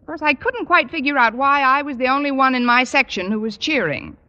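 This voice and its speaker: A woman playing a dry-witted schoolteacher who narrates and trades deadpan wisecracks in a 1950s radio sitcom. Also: sits high, a little fast. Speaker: English teacher and narrator. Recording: dull old radio broadcast recording.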